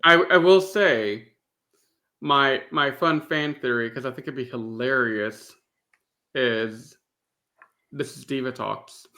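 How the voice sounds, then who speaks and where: A man's voice: mid-range voice, slow, pauses often, bright, forceful tone. A man co-hosting a podcast, chatting casually as a fan. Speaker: podcast co-host.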